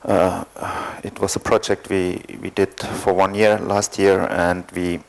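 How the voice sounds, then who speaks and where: A man from East Germany, speaking English, telling his story as a panel guest with many pauses and fillers. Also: sits low, flat delivery, vocal fry. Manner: hesitant, thoughtful, many fillers. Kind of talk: panel discussion, personal account.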